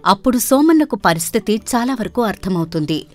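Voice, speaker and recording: A woman's voice: mid-range voice, expressive, fairly quick, soft background music. A woman storyteller reading a scripted moral tale as narrator.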